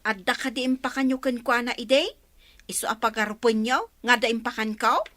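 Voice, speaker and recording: A woman's voice: a little high, moderate pace, sharp tone, dry recording. A woman with an Ilocano accent playing an outspoken young village woman in a comedy radio drama. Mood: defiant.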